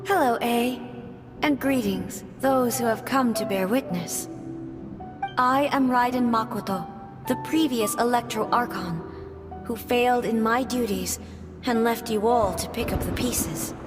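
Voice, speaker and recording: A woman playing a serene, wise goddess spirit in a video game, speaking in a gentle, tender, unhurried way. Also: fairly high pitch, long reverb tail, close to an everyday voice. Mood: serene, affectionate. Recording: music behind the voice.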